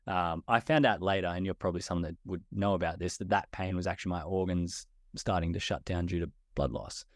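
A young Australian man, a guest in a podcast interview, speaking in a relaxed way. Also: low-pitched, a little fast, dry recording, studio quality. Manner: relaxed, many fillers and restarts. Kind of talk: conversational interview.

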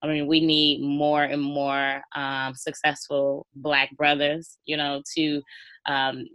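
A woman's voice: low voice, level tone, takes it slowly, dry recording, highs cut off. A woman guest-hosting a podcast interview, encouraging and talking in long run-on thoughts. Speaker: guest host.

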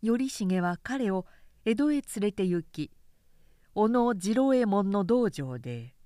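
Female narrator for literary audiobook: expressive storyteller, widely varied pitch, slow and deliberate phrasing. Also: no room sound, studio quality. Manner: measured, expressive storytelling delivery. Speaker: narrator reading a short story aloud.

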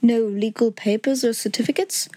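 A woman's voice: fairly high pitch, steady tone, moderate pace, warm tone, lightly acted. A woman voicing a languid, incisive male detective in an audiobook story, with a dry, clipped, self-assured manner. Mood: brisk, musing.

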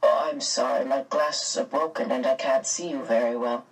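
A woman voicing a mild, determined middle-aged father in a storybook, polite and a little halting. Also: very deep voice, fairly even intonation, breathy edge, recorded from a distance, pitched below the performer's own voice, full character voice. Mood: awkward, polite.

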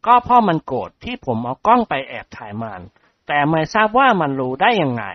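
A reader voicing a self-important male doctor and scientist who talks slow and measured. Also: medium pitch, sing-song, no room sound, lo-fi sound. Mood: earnest.

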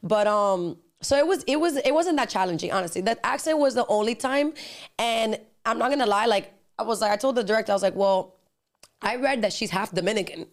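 A young woman guest talks at a quick clip in relaxed conversation. She fills her sentences with "like" and switches into Spanish phrases mid-thought. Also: fairly high pitch. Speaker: guest, singer and actress. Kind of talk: casual interview chat.

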